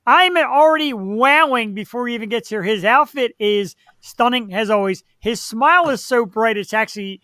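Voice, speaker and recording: A man's voice: very high-pitched, normal speed, hardly any pauses, pushed and bright, dry recording. A man hosting an online talk show with playful, self-deprecating banter and a slightly nasal voice, speaking into a computer microphone. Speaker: host. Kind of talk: casual live-stream chat.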